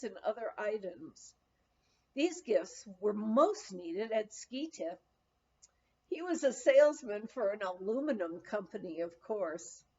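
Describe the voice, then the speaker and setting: A woman reads a lighthearted memoir in a fairly high voice. Her delivery is expressive, with very animated melody and deliberate phrasing. The recording sounds a little muffled and lo-fi. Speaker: audiobook reader. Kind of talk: narrated reading of a humorous memoir.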